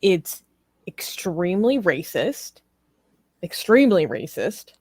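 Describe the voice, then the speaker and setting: A woman co-hosting a political talk show, speaking in an informal, animated and emphatic way with strong opinions. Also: lowish voice, takes it slowly, breathy edge, no room sound. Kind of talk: casual, opinionated live discussion.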